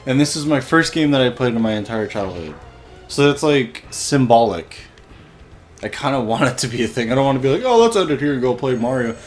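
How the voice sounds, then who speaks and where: Young male let's-play host, lively up-and-down voice, excitable, cursing at the game. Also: mid-range voice, brisk, faint music behind. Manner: excitable, swearing, frustrated outbursts. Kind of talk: casual gameplay commentary.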